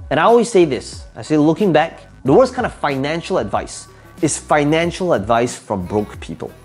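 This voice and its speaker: A man's voice: on the high side, very animated, moderate pace, darkish tone, breathy edge, soft background music. A man whose first language is Cantonese gives motivational advice to camera, speaking emphatically, asking rhetorical questions and repeating his key phrases. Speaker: entrepreneur giving advice.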